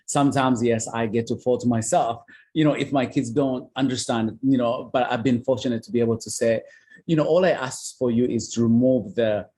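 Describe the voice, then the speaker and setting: A man's voice: mid-range voice, fairly even intonation, normal speed, dark tone, slightly rough. A man from Uganda, a podcast guest telling his life story earnestly and often adding "you know". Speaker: guest, author and foster father. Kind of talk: interview storytelling.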